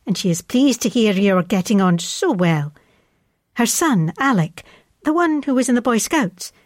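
A woman playing a proud, chatty wartime mother, reading her homely letter to her son at a measured pace. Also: medium pitch, darkish tone, no room sound, clean studio sound, lightly acted. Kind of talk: dramatic reading of a 1940s letter.

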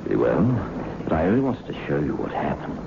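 An actor playing a menacing, insistent older brother suspected of murder, in a theatrical old-time radio suspense style. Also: male voice, extremely low pitch, wildly swooping pitch, fast, gravelly, heavily acted. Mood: uneasy, explaining. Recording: dull, very noisy 1960s radio recording.

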